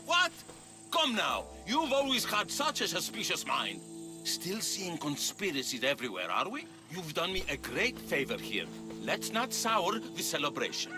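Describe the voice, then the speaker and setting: A wily aging ex-mercenary, highish voice. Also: male voice, big pitch swings, measured pace, music in the background. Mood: smooth, affable. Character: aging male ex-mercenary, wily, remorseful old friend. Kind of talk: video game dialogue.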